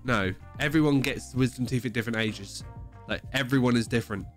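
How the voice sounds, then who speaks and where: A man hosts a casual livestream podcast with music behind him. He sounds stuffed up with a head cold and sore throat. He explains things at length in a mid-pitched voice, hammering his points and swearing freely. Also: a little fast. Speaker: podcast host.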